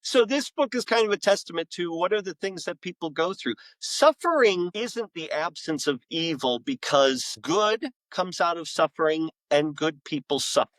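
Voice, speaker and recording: A man's voice: fairly high pitch, expressive, normal speed, few pauses, fairly bright tone, no echo. A middle-aged man speaking frankly and self-deprecatingly in a conversational podcast interview as a guest author.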